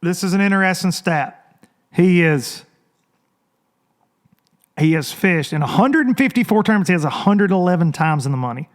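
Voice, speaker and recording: Hoarse, rambling podcast host man. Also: sits high, animated, normal speed, pauses often. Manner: loose, rambling, talks to listeners as y'all. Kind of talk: casual podcast monologue. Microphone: studio microphone.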